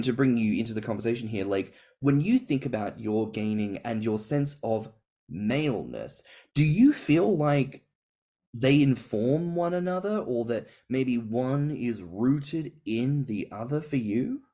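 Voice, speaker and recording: A man's voice: mid-range voice, animated, a little slow, mellow. A man in a casual podcast chat, talking expressively with big swings and emphatic stresses. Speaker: podcast co-host or guest.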